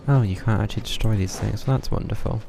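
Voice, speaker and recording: A man's voice: lowish voice, quick pace, vocal fry. A man giving casual, understated live commentary as he plays a game, muttering to himself. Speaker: game streamer. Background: game music.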